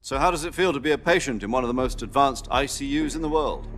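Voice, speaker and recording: An actor playing a composed, middle-aged American military intelligence commander in a game cutscene, measured, wry and confiding. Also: male voice, mid-range voice, lively intonation, loud music behind the voice, close to an everyday voice. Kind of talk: cinematic game cutscene acting.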